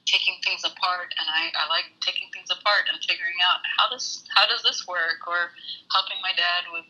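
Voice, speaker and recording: A woman in her thirties from Saudi Arabia, an engineer talking through her story in a casual interview, hesitant with many pauses. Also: on the low side, steady tone, sharp tone, slightly rough, low-quality recording. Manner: thoughtful, hesitant with many ums. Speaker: guest, engineer.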